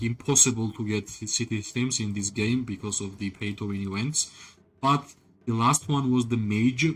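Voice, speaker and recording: Guy streaming a game, middle pitch, rambly chat with viewers, soft game music behind. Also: slightly rough. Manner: rambling, leans on "like" and tag questions "right?"; talks to chat viewers directly. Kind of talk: casual live-stream commentary while playing.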